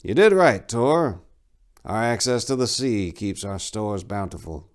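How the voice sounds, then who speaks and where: An aged abbot of a fantasy monastery acted by a male audiobook reader questions with stern authority. His pitch sits raised and moves in lively arcs. He is measured yet openly suspicious of his own flock. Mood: measured approval. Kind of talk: dramatic fantasy audiobook reading.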